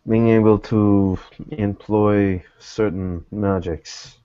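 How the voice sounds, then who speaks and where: A man speaks in a low, dark voice with long deliberate pacing, choosing formal words as he role-plays a character. The audio is slightly dull and lo-fi. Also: fairly even intonation, smooth. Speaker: role-playing gamer voicing a private investigator. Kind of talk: slow in-character improvised dialogue.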